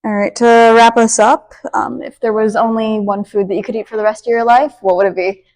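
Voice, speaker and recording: A woman's voice: fairly high pitch, flat delivery, fairly quick, darkish tone, poor audio. Young woman asking polite questions. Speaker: student interviewer. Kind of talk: school news interview.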